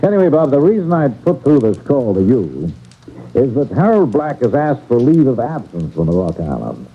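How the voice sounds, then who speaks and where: A thin, phone-like old radio recording of a shrewd detective boss. His voice swings expressively and has some husk to it. He is folksy, deliberate and knowingly probing, as in a classic radio mystery. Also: medium pitch, big pitch swings, slightly rough. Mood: brisk, confiding. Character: shrewd male detective agency chief, genial but quietly probing. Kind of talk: old-time radio drama acting.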